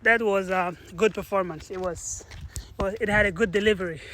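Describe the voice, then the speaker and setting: A man's voice: high-pitched, pushed and bright, a little hiss or hum. A man giving a casual motivational talk outdoors in a park, rambling and often restarting his sentences. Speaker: motivational speaker.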